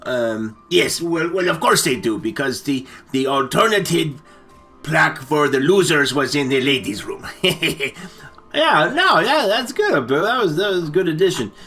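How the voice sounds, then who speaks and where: A man's voice: a little high, expressive, moderate pace, sharp tone, soft background music. A man hosting a comic story time, retelling a movie in a rambling, stammering way with muddled names and asides. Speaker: story-time show host.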